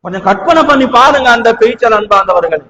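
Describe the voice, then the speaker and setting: High-pitched Sri Lankan Tamil male preacher, echoing hall. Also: expressive, fast talker, pushed and bright, highs cut off. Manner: emphatic, dramatic, with rhetorical questions and repeated phrases. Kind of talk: sermon with storytelling. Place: large mosque hall. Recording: reverberant hall.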